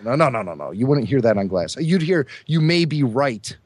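Hoarse guy with a cold, podcast chat, voice jumps around a lot, dry sound. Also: mid-range voice, very animated, normal speed, no room sound. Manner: chatty, enthusiastic, fond of trivia. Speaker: podcast co-host. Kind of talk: casual chat.

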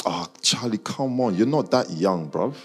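Male, London accent, raspy and slightly deep. Slangy, teasing live-audience chat with faint music underneath. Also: expressive, moderate pace. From Nigerian, childhood years in Guyana, schooled in the UK. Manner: bantering, teasing listeners by name, slangy. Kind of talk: casual chat with a live audience and online viewers.

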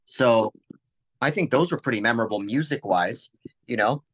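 A man's voice: mid-range voice, normal speed, fairly bright tone, husky, no room sound. A man chatting casually in an interview as a touring musician, with slangy, informal phrasing. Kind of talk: casual chat.

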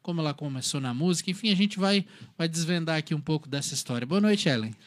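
A man's voice: on the high side, animated, fast. A man hosting an interview show, asking friendly questions and sometimes restarting his sentences. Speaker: interview host. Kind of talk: casual interview.

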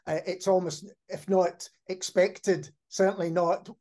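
A man with a voice a little higher than most hosts a podcast interview. He speaks conversationally, offering questions and reflections to his guest. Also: level tone, unhurried, darkish tone.

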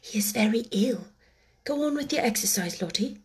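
A woman voicing a gentle, thoughtful, well-bred little girl in a storybook, speaking softly and politely, at times in a whisper. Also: sits high, lively intonation, a little fast, husky, pitched above the performer's own voice, full character voice. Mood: hushed. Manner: soft, polite, partly whispered.

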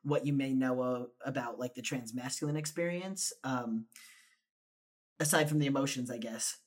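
A man's voice: mid-range voice, normal speed. Man chatting casually, agreeable. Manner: soft, agreeable, filler-heavy. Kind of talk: casual conversation.

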